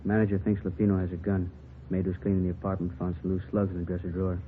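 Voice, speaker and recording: Man as a rapid-talking, matter-of-fact policeman. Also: low voice, level tone, lightly acted. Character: hurried, matter-of-fact local police detective. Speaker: local police detective. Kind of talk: 1950s radio drama acting. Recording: tinny vintage radio, faint hiss.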